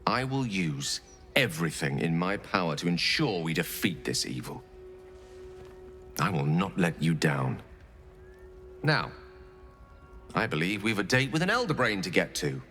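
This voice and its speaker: An earnest, eloquent young wizard. His bright voice swings widely in pitch as he talks. Soft game music runs under him. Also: sing-song, measured pace, pauses often, heavily acted. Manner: articulate, theatrical, earnest. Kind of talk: video game voice acting.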